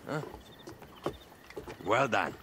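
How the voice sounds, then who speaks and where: An actor playing a wary, commanding male spymaster of ancient Egypt in a dramatic game cutscene, authoritative and measured. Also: lowish voice, animated, background noise. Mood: stern, measured.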